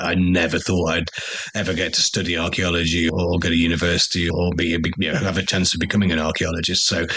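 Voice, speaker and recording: A man's voice: low-pitched, pushed and bright, breathy edge, no room sound. A man hosting a podcast, chatting enthusiastically and self-deprecatingly, laughing often. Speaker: podcast host. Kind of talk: casual conversational interview.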